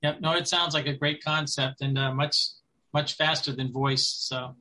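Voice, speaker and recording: A man's voice: mid-range voice, flat delivery, normal speed, sharp tone, poor audio. A man casually asking questions and commenting as an audience member on a video call.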